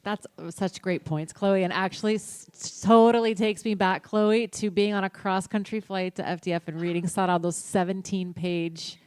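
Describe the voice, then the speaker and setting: A woman's voice: medium pitch, fairly even intonation, moderate pace, hardly any pauses, a little mellow, clear, resonant tone. A woman moderating a forum discussion in an upbeat way, thanking speakers and calling on the next one.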